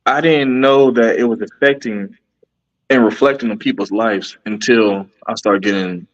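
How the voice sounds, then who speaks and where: A man's voice: lowish voice, normal speed, highs cut off. A man, a podcaster and musician, talking earnestly in a casual interview with frequent restarts. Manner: earnest, rambling, many restarts.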